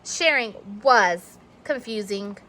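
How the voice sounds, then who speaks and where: A woman reading a children's storybook aloud in an expressive narrating style. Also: medium pitch, slow pace. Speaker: storybook reader.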